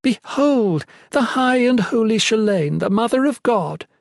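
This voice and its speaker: A man voicing a high-born young woman in an audiobook, bitter, imperious and anguished, speaking with theatrical, sarcastic emphasis. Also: sing-song, moderate pace, darkish tone, no echo, studio quality. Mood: bitter sarcasm.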